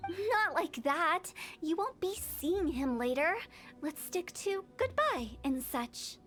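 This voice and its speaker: A woman's voice: very high voice, animated, takes it slowly, a bit bright, faint music behind, heavily acted. A woman voicing a cheeky young female funeral parlor director in a video game, teasing and theatrically eloquent. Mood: cheerful, teasing.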